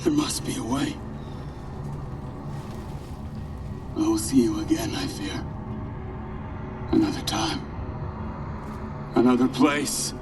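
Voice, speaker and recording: A man's voice: medium pitch, measured pace, pauses often, recorded from a distance, music in the background, lightly acted. An actor playing a tormented man, cursed and transformed by power, who speaks in an anguished, mournful way. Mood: resigned.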